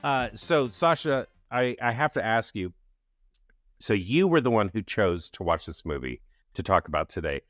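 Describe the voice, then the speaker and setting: A man's voice: on the low side, big pitch swings, moderate pace, a bit bright, no echo, clean studio sound, faint music behind. A man hosting a podcast, talking in an upbeat, enthusiastic way. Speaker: podcast host. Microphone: studio mic.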